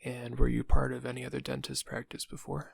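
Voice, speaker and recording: A man acts out a dental receptionist in an intimate audio roleplay. His mid-pitched voice is thick with vocal fry and rather husky. He is polite and casual, with a quietly sinister, insinuating edge. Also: completely flat delivery, a little fast, no room sound. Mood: friendly, businesslike. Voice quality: gravelly, husky. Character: friendly, subtly sinister male dental office receptionist.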